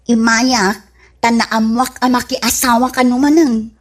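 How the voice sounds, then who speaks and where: A woman playing a giddy, clingy flirt in an Ilocano comedy radio drama, with an Ilocano accent and a giggly, drawn-out teasing delivery. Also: a little high, flat delivery. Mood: matter-of-fact.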